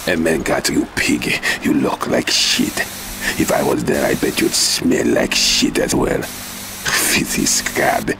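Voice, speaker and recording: Gravelly, menacing villain boss. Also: male voice, a bass voice, slow, noisy background, heavily acted. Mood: scornful. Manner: scornful, pompous, explosive. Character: volatile, menacing, foul-mouthed fat male villain boss. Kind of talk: video game cutscene acting.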